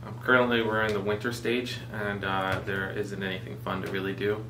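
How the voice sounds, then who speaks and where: A man in his twenties from Mexico, co-hosting a casual vlog chat, relaxed and full of fillers. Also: on the low side, a little fast, reverberant, distant mic, noisy background. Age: 20s. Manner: relaxed, filler-heavy, joking. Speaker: vlogger co-host.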